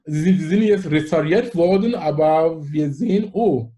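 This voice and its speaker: A man in his forties from Cameroon with a Cameroonian accent, telling stories animatedly as a guest in a casual talk. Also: a little high. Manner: animated storyteller, quick laughs. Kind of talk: casual conversation.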